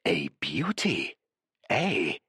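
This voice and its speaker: A man reading an audiobook, voicing a courteous, probing male professor who speaks softly and gently presses with questions. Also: low voice, wildly swooping pitch, drawn-out words, husky, no room sound, clean studio sound, pitched below the performer's own voice, heavily acted. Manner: soft, interested, courteous.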